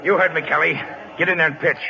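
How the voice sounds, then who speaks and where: An actor playing a gruff, irascible baseball team manager in an old radio comedy-drama, barking orders sarcastically. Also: male voice, on the high side, fairly even intonation, quick pace, heavy background noise. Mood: impatient. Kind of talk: 1940s-50s radio comedy-drama acting. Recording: muffled old radio broadcast recording.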